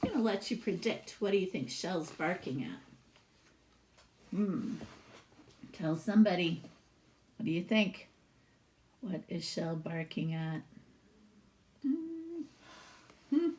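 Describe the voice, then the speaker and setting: A woman reading a children's picture book aloud like a teacher, animated and stopping for asides and questions to young listeners. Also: lowish voice, takes it slowly, pauses often. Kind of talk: expressive storybook read-aloud with asides to children.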